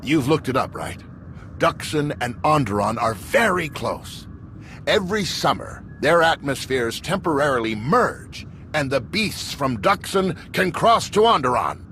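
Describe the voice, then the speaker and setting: Bright, emphatic, earnest official. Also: male voice, on the high side, big pitch swings, a little slow, slight background noise. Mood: earnest, worried. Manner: urgent, combative, emphatic. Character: earnest, indignant male official distrustful of corporate crooks. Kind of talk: video game cutscene acting.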